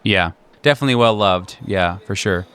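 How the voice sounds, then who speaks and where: A man narrating an improvised tabletop game as game master, in a conversational, halting way with repeated words. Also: fairly low pitch, a bit bright, clear, resonant tone.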